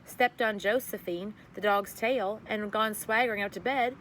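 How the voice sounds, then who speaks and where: Expressive woman reading aloud, noisy background. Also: medium pitch, moderate pace. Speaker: teacher reading to a class. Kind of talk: expressive read-aloud storytelling to children.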